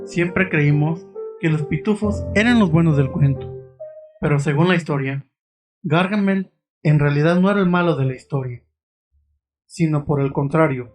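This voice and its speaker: A man reading scripted, dramatic narration in a suspenseful manner, putting questions to the listener. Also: fairly high pitch.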